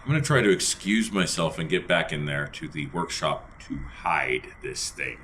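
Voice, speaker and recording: A man's voice: a bass voice, normal speed, fairly bright tone, a little hiss or hum. A man playing a tabletop game, talking casually through what his character does.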